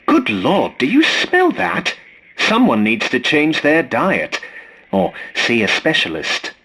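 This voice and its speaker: An actor playing a fussy, polite, snarky scavenger robot in a video game, with a prim, formal and dryly sarcastic delivery. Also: male voice, fairly high pitch, huge pitch swings, takes it slowly, pushed and bright, husky, heavily acted. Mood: flustered, prim. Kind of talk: scripted video game character lines.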